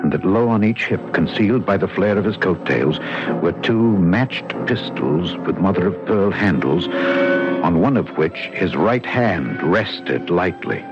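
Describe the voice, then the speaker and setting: Dapper, wary policeman, low animated voice. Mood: tense, reflective. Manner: formal, deliberate, dramatic. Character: small, dapper, supple French policeman, polite and wary narrator. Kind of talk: old-time radio drama acting and narration. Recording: dull vintage radio recording with music.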